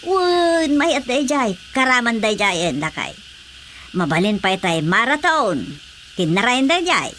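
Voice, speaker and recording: A woman with an Ilocano accent playing a lively, eager older village wife in a radio comedy drama, full of drawn-out exclamations. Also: a little high, a little hiss or hum. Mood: scheming cheerfully.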